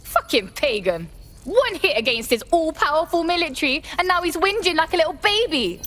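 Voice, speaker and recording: A woman's voice: very high voice, sing-song, brisk, a bit bright, heavily acted. An actress playing a foul-mouthed young female rebel, brash and blunt.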